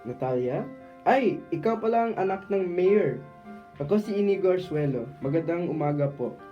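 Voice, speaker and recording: A man's voice: high voice, expressive, normal speed. A man acting a polite young man, muffled recording. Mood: friendly, charmed. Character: polite young man charmed by a mayor's daughter. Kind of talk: amateur student radio drama acting. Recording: dull lo-fi with guitar music behind.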